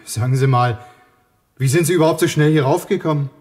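An actor playing a suspicious, grudging young husband in a radio play, brusque and sarcastic. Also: male voice, fairly high pitch, a little fast, darkish tone, close to an everyday voice. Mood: wary, brusque. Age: young adult. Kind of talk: German radio play acting.

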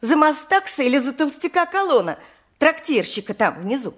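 A woman's voice: high voice, big pitch swings, moderate pace. An actress playing a chatty, worldly-wise married peasant woman in an audio drama, talking in a matter-of-fact, gossipy way. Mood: confiding, wry. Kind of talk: theatrical audio drama with first-person narration.

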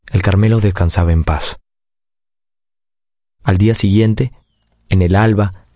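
Low, mellow male story narrator. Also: no room sound. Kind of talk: dramatic literary narration for radio.

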